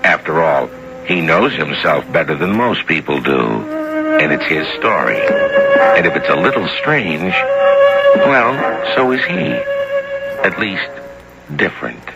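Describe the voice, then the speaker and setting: A man with a deep, low-pitched voice narrates as host of a seventies radio drama anthology. He addresses the listener directly in measured storyteller fashion. Prominent music plays behind him on an old broadcast recording. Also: sing-song, low-quality recording.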